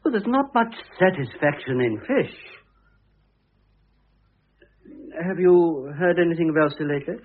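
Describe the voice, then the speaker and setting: Middle-aged man, lovelorn gentleman bachelor of radio drama: bluff, plaintive, a bit husky, muffled old recording. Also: fairly high pitch, lively intonation, brisk. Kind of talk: classic radio drama acting.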